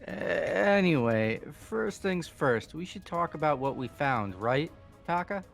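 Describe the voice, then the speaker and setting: A man's voice: on the high side, expressive, unhurried, creaky, faint music behind. A man voicing a casual, slightly nervous young male character in a video game, with hesitant, plain-spoken delivery. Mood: uneasy, casual. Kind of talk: amateur voiced video game playthrough.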